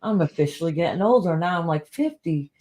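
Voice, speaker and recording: A woman's voice: fairly low pitch, lively intonation, brisk, dark tone, slight background noise. A woman in her late forties co-hosting a casual chat, telling stories with frequent laughter. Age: 40s. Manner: laughing, chatty storyteller. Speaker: podcast co-host.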